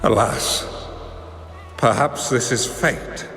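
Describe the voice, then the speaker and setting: Slow, gravelly old hermit master. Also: male voice, mid-range voice, fairly bright tone. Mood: solemn, grave. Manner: measured, sighing, solemn sage-like delivery. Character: wise old male hermit master, gentle and solemn. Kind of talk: dramatic video game voice acting. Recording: echoey hall reverb with music behind.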